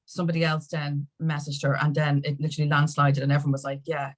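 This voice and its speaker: Low-voiced Irish woman telling her story. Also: completely flat delivery, quick pace. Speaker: podcast guest, music industry activist. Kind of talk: casual conversational storytelling.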